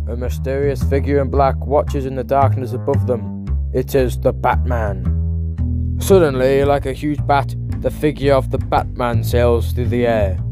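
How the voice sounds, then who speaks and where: A man narrating a comic-book audiobook in a dramatic, scripted storytelling style. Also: mid-range voice. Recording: background music.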